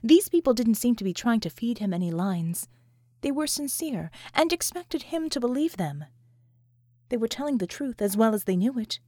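A woman's voice: on the high side, sing-song, normal speed, darkish tone, no echo, clean studio sound. A woman reading scripted fiction as an audiobook narrator.

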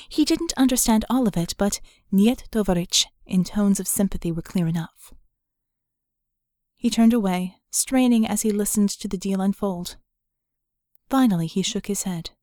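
A woman narrates dramatic fiction in a medium-pitched voice. The delivery is scripted audiobook storytelling, recorded dry with no room sound. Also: a little mellow, no room sound.

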